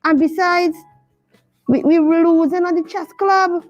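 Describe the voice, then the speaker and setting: A woman's voice: very high voice, fairly even intonation, measured pace. A woman voicing a young schoolboy puppet who earnestly pleads with his friend. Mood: pleading. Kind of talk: puppet show acting. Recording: dull lo-fi sound with faint music.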